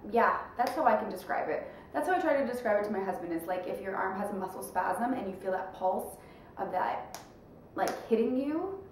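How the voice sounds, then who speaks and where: A young woman rambles along to her viewers in a relaxed, chatty way, with a stuffed-up nose. She sits back from the mic in a very echoey room at home, with a faint hiss underneath. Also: mid-range voice, recorded from a distance. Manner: rambling, chatty, lots of fillers. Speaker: vlogger. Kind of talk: casual chat to camera.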